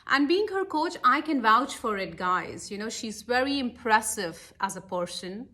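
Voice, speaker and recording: Bright, high-voiced Indian-accented woman coach. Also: animated, moderate pace. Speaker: life and business coach. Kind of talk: motivational talk with storytelling.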